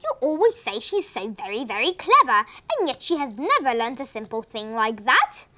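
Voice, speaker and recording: A woman's voice: on the high side, big pitch swings, moderate pace, sharp tone. A woman doing a grumbling old husband. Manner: grumbling, exasperated. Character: grumbling, stubborn old husband, kind underneath.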